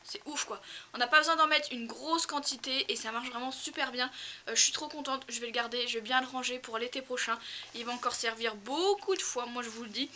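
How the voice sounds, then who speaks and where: A woman's voice: on the high side, a little fast, bright, forceful tone, slight background noise. A young woman with a cold and a slightly nasal, congested voice chats casually through a product review, repeating words for emphasis. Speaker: equestrian vlogger. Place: outdoors in a stable tack room, cold.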